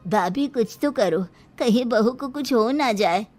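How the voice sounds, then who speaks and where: A woman's voice: on the high side, lively intonation, measured pace, a little mellow, faint music behind. A woman voicing an old-fashioned village man in a cartoon story, insistent and scolding in manner. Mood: worried. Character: gruff, old-fashioned village men of the household. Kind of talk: Hindi cartoon story character acting.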